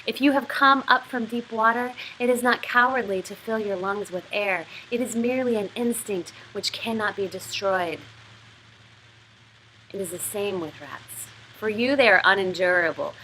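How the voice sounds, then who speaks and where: A woman voicing a menacing, schoolmasterish male interrogator from a novel, measured and deliberate, lecturing coldly. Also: mid-range voice, sharp tone, a little hiss or hum, close to an everyday voice, rough recording. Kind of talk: literary reading of novel dialogue.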